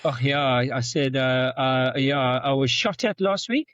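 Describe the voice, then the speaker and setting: A middle-aged man with a Zimbabwean-South African accent, telling stories as a podcast guest, often repeating words and jumping up in pitch for anecdotes. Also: unhurried, a bit bright, dry recording. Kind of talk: storytelling in conversation. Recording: dull, dry lo-fi sound.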